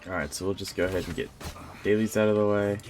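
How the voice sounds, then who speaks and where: A man's voice: lowish voice, fast talker, over music. A man giving casual live gameplay commentary as a streamer, rambling and thinking aloud. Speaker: game streamer.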